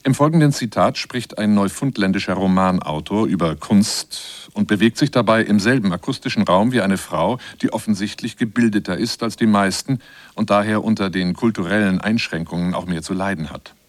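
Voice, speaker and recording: A man reading scripted documentary narration in a measured, formal way. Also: fairly low pitch, no room sound. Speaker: narrator of a radio feature.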